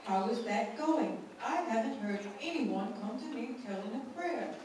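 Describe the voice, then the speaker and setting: A woman voicing a vain, easily flattered king in a church puppet show, slow and pleased with himself. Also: a little high, natural voice. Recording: echoey hall from far off, noisy.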